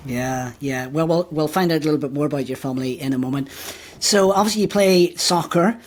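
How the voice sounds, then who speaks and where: A man's voice: a little high, fairly quick. Man interviewing. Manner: friendly, brief encouraging backchannels. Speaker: podcast host. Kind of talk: casual podcast interview.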